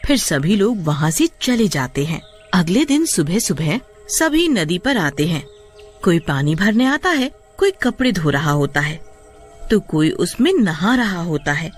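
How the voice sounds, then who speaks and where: A man narrates a scripted horror tale for children with lively, swinging pitch. His voice sits at a middle height, with music playing underneath. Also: measured pace.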